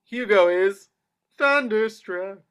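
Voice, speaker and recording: A man reads screenplay stage directions as narrator. His voice sits slightly high, following scene headings and action at a table read. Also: slow, a bit bright, smooth.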